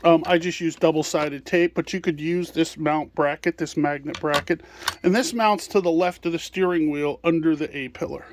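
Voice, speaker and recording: Casting: male product presenter, notably high-pitched, informal hands-on walkthrough delivery. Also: steady tone, moderate pace, a little hiss or hum. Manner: hands-on explaining while handling items.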